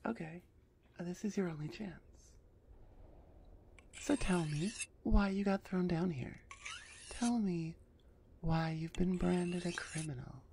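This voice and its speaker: A woman voicing a gruff female minotaur executioner in an ASMR roleplay, husky and often half-whispered, impatient and sardonic with her captive. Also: on the low side, takes it slowly, pauses often, dark tone, a little hiss or hum. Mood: taunting.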